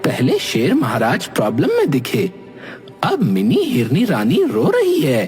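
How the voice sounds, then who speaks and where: An actor performs a playful male cartoon animal with a slow, drawn-out delivery. The mid-pitched voice swings wildly and theatrically, with dramatic exaggeration over music. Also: huge pitch swings, a little mellow, slightly rough, exaggerated voice. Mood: sympathetic, playful. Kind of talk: Hindi cartoon character dialogue.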